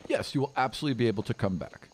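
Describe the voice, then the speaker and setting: Fantasy tabletop role: dwarf Seeker voiced by a man, measured delivery, polite official manner. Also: medium pitch, husky, natural voice. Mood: easygoing, reassuring.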